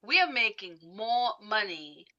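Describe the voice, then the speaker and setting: Bright-voiced woman hosting, slightly dull audio. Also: medium pitch, big pitch swings, a little slow. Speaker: interview host. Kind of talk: casual conversational interview.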